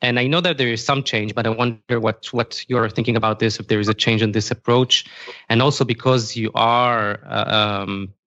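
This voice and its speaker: A man hosting an interview in a conversational style, deliberately building up long questions. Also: medium pitch, steady tone, a bit bright, no room sound, dull audio. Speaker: interviewer, host.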